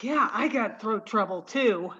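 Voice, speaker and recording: A woman plays a sweet scatterbrained young woman in an old radio comedy. Her high, lively voice is naive and earnest. The sound is slightly dull and lo-fi. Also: unhurried. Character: sweet, dim, eager young woman, Irma. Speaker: amateur theatre performer. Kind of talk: 1940s radio sitcom acting.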